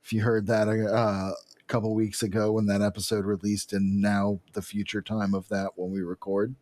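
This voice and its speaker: A man's voice: on the low side, little pitch movement, normal speed, darkish tone, no room sound. A man co-hosting a podcast in casual chat, telling things in a rambling, digressive way. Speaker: podcast co-host.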